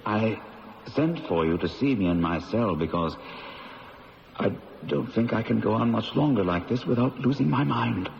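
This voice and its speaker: An actor playing a guarded, weary accused man in an old-time radio drama, speaking deliberately. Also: on the low side, expressive, gravelly. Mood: weary, resigned. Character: guarded, weary male diamond-company employee accused of theft. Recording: dull, echoing radio recording, faint hiss.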